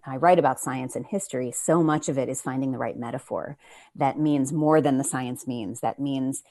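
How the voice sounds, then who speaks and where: Expressive low-voiced woman on a panel. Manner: expressive, emphatic, acts out asides and rhetorical questions. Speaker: playwright on a discussion panel. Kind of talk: animated conversational panel talk. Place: remote video call.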